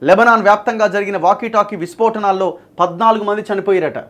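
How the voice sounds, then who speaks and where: Male news presenter, Telangana accent, high register, emphatic explanatory delivery. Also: fast, a bit bright. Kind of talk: news reading with explanatory commentary.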